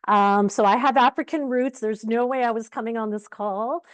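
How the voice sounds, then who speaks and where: A middle-aged woman from Uganda who grew up in Canada, giving an energetic, conversational keynote talk over a video call. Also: fairly high pitch, moderate pace, no echo. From Uganda, raised in Canada. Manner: energetic, conversational, many fillers. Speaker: conference keynote speaker. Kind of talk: virtual conference talk.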